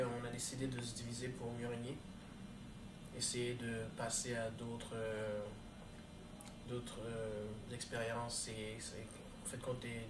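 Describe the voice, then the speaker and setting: A man in his thirties talks through his answers haltingly, filling pauses and restarting his sentences. His voice stays level with little rise or fall. He sits away from the mic in a reverberant room with clear background noise. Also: mid-range voice. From United States. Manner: hesitant, many fillers and restarts, flat delivery. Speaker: actor and musician being interviewed. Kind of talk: casual interview answers. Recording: roomy, distant, noisy background.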